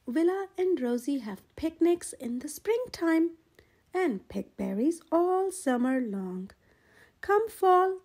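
A woman reading a children's picture book aloud in an expressive, gentle storytelling manner. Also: high-pitched. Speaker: storyteller, channel host.

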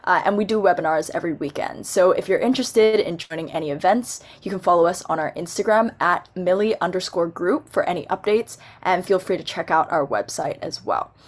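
A woman's voice: fairly low pitch, a little fast, hardly any pauses. Young woman moderating a video-call panel. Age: young adult. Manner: friendly, organized, scripted intros. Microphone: laptop webcam on video call.

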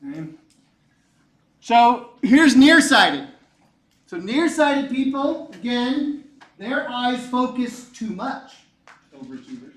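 A man in his forties teaching a physics class, lively and joking, repeating his key points. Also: very high voice, slow pace, pauses often. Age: 40s. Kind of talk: classroom lecture. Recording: echoey room, mic far off.

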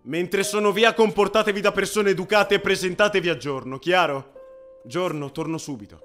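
A stern young gang leader from an anime fan dub , played by a man, with a high-pitched male voice. He is composed, commanding and courteous, with faint music behind. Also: moderate pace, a bit bright.

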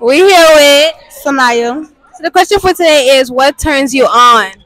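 Teasing high-voiced teen girl interviewer. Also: expressive, a little slow, dry recording, low-quality recording. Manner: teasing, loud, laughs a lot. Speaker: street interviewer. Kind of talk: casual school interview. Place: busy school courtyard and gym.

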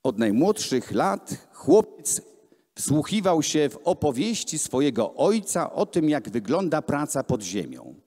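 A man reads children's stories aloud to a live gathering, speaking slowly and theatrically. His fairly high voice moves widely up and down. It is amplified through a handheld microphone in a reverberant gallery hall. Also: wildly swooping pitch, darkish tone. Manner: theatrical, deliberate storytelling with voiced dialogue. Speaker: reader at a gallery event. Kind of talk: expressive live reading of children's books. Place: large gallery hall with hard floor, indoors. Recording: echoey hall, amplified voice filmed from the room.

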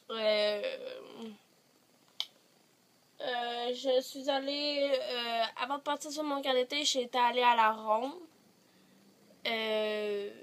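A woman's voice: a little high, fairly bright tone. A teenage woman with a Quebec French accent, chatting to camera in her bedroom, rambling with many hesitations, a little congested from a cold. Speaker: vlogger. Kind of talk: casual chat to camera. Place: bedroom, sitting on a bed. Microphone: device camera at short distance.

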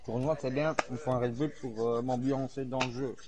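A man's voice: medium pitch, fairly even intonation, normal speed, heavy background noise. A man with a Belgian French accent, calling out to his teammates during an online game. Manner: calls out urgently to teammates. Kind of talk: casual gaming chat.

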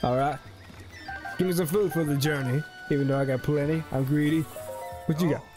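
A young man with a slightly high voice hosts a casual let's play. He rambles along in a jokey way, with game music playing behind him. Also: steady tone, fairly quick. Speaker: gaming video host.

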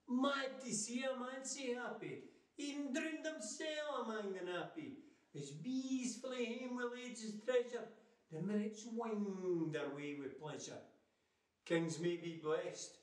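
Older Scottish male, high voice, dramatic verse recital, recorded at a distance in a roomy space. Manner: theatrical, emphatic, measured. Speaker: poetry reciter. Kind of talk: dramatic recitation of Scots narrative poetry. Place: living room with fireplace, indoors. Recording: roomy, distant microphone sound.

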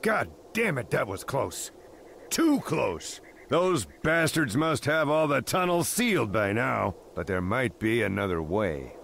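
An actor playing a gruff, urgent military commander in a video game cutscene, with an emphatic, commanding delivery. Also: male voice, mid-range voice, sing-song, a little slow, fairly bright tone, a little hiss or hum, exaggerated voice. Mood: grim, briefing.

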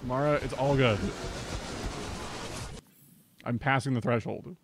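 A man's voice: mid-range voice, slow pace, background noise. A young man chatting casually as a game streamer, reacting live from a bedroom on a close microphone. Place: bedroom, indoors. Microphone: close desk microphone with headset.

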